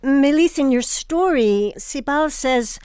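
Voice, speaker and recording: A woman hosting an interview, putting measured, prepared questions to her guests. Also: sits high, lively intonation, darkish tone, no room sound. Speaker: interview host.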